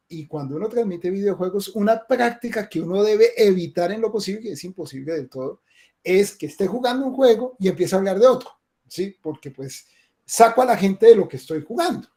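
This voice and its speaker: A Colombian man hosting a webinar over a video call, a game streamer who chats casually and tags his remarks with quick asides. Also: sits high, dark tone.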